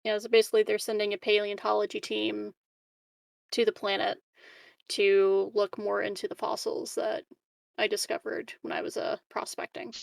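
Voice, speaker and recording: Woman player with a creaky voice. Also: medium pitch, monotone, moderate pace, dry recording, clean studio sound. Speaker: tabletop game player. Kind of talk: casual in-character chat in a tabletop roleplaying game.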